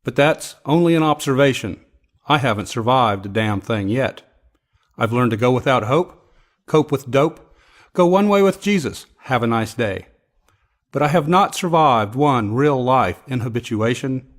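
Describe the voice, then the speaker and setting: A man's voice: medium pitch, lively intonation, measured pace. A man reading poetry aloud in a recitation style. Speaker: poetry reader.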